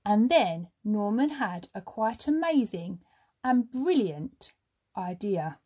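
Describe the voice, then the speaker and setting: A woman reading a children's story aloud like a teacher, with expressive, measured phrasing and emphatic pauses. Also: mid-range voice, husky.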